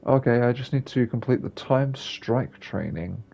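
A man with a gravelly, husky voice giving casual, rambling commentary as he plays a video game. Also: medium pitch, animated, a little slow, dark tone. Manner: rambling, offhand. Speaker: gaming video creator.

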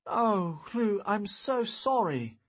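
A man's voice: mid-range voice, huge pitch swings, a little slow, breathy edge, heavily acted. A man playing an earnest young wizard with a theatrical streak in an audiobook story, speaking emphatically and dramatically. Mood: apologetic.

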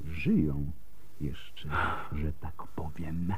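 A man's voice: very deep voice, drawn-out words, mellow, husky, exaggerated voice. An actor playing a shrewd, skeptical old male doctor in a radio drama. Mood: thoughtful, measured.

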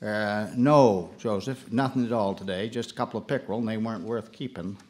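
A man's voice: on the low side, a little fast, darkish tone, lightly acted. A man playing a plainspoken small-town local, narrating a live audio drama in a folksy, colloquial way. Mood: subdued.

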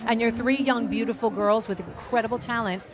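A woman's voice: on the high side, steady tone, a little fast, crowd noise. A middle-aged woman hosting red carpet interviews, effusive and motherly in her manner. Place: outdoor red carpet event.

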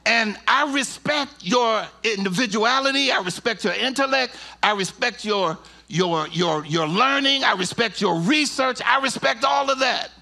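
A middle-aged man preaching a sermon in a church sanctuary into a handheld mic, moving from slow low teaching to shouted, half-sung climaxes. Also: expressive, gravelly. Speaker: pastor.

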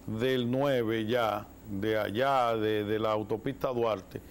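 A man in his forties with a Dominican accent, co-hosting a studio talk show and giving lively commentary. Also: on the low side, slow pace, a little hiss or hum. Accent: Dominican Spanish. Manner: animated, gestures with both hands. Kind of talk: political commentary. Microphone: lapel mic.

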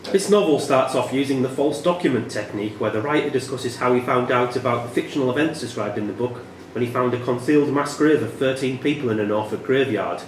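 Male quiz master, mid-pitched and measured, reading questions in an echoey, slightly noisy room. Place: indoor pub room. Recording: echoey pub room with some noise.

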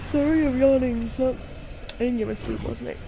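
A young woman with a fairly high voice reacts casually to a game. She thinks aloud in halting asides, close on the mic, while loud game music plays behind her. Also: creaky, rough recording. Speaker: streamer reacting to a video game. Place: indoor home streaming setup. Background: loud game soundtrack and vehicle noise.

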